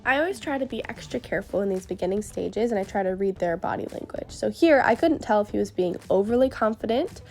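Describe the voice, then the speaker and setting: A young woman with a stuffed-up nose from a cold narrates a training vlog, earnest and upbeat. Her voice has a gravelly, fry-touched edge, and soft music plays underneath. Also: medium pitch, animated, fast talker, hardly any pauses. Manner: upbeat and earnest, explaining step by step. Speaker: horse trainer. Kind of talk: voice-over narration of training footage.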